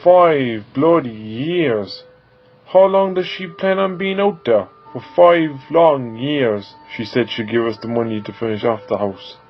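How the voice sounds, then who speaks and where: A resentful family man acted by a man argues in a mid-pitched voice on a dull recording. He is sarcastic and accusing, as in an audio drama, with faint music behind. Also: unhurried, lightly acted. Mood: bitter. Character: bitter, angry husband and father.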